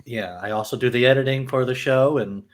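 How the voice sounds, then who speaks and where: A man's voice: medium pitch, brisk. A man chatting casually as a podcast co-host. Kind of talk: casual chat.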